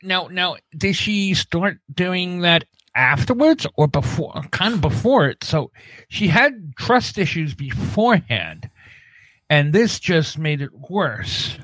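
A man's voice: high voice, big pitch swings, slow pace, fairly bright tone, dry recording, rough recording. A man hosting a podcast in casual chat, musing hesitantly as he thinks things over. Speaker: podcast host.

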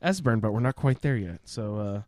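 A man's voice: on the low side, expressive, normal speed, darkish tone, no echo, studio quality. A man hosting a casual, informal roundtable discussion about a video game. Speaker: podcast host.

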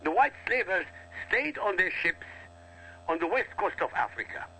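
An Arabic-native man from Iraq holds forth on the radio, his voice bright and sharp with a lively, swooping pitch. He talks in forceful, repetitive bursts. A faint hum sits under the broadcast. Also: moderate pace, low-quality recording. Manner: forceful, emphatic, repetitive lecturing. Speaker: guest commentator. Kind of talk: heated radio talk.

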